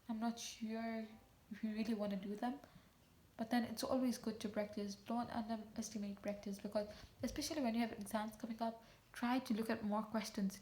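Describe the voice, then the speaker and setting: A woman's voice: on the high side, a little mellow, a little hiss or hum. A woman from Tanzania, teaching math in a casual video. She talks straight to her viewers, correcting herself as she goes. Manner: chatty, self-correcting, talks to viewers directly. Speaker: math tutor.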